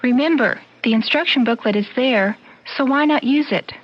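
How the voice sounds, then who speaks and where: A woman announcer reading a public service announcement in a measured, informative way. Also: sits high. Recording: dull old radio broadcast.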